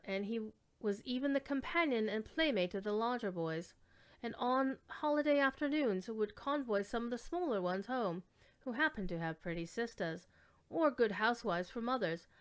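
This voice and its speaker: A woman giving a measured, theatrical dramatic reading of classic literary fiction, lingering on descriptive words. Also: a little high, expressive, smooth. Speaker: storyteller reading aloud.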